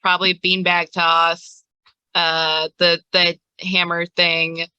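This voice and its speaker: A woman's voice: fairly low pitch, little pitch movement, takes it slowly, sharp tone, clear, resonant tone, no echo, highs cut off. A woman running a tabletop game as game master, chatting casually with her players.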